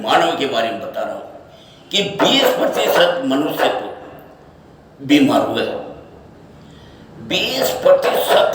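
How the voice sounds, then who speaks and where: A man speaks slowly in a very echoey space, some way from the microphone. He delivers an off-the-cuff monologue in short, broken phrases, circling back to repeat his words. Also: a little high. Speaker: social media speaker giving his opinion. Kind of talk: unscripted opinion monologue. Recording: echoey, distant mic, faint noise.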